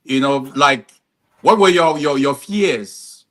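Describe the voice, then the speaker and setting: Bright-voiced man hosting an interview. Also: a little high, slow. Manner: encouraging, frequent "you know" and short affirmations. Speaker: podcast host. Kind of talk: casual interview conversation.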